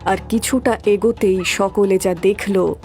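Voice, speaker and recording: Female narrator for a horror cartoon; medium pitch, dramatic storytelling, music bed behind. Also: fairly even intonation, slow pace, a little mellow. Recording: background music throughout.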